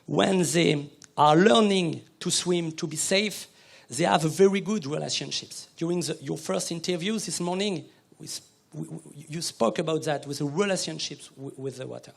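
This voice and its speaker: A man answering questions on a conference panel, his halting and full of restarts and repeated words. His voice sits fairly high for a man. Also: creaky, slightly rough. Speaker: researcher presenting a project.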